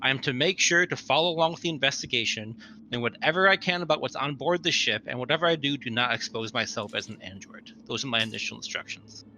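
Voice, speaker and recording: A man's voice: mid-range voice, fast talker, bright, forceful tone, poor audio. A man recapping his tabletop roleplaying character in a casual debrief, as one of the players. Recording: faint background noise.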